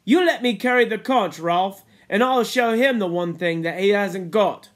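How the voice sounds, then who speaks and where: A man's voice: high-pitched, normal speed, pitched above the performer's own voice, close to an everyday voice. A man voicing an indignant, pleading young boy in a storybook reading, speaking insistently in colloquial schoolboy grammar.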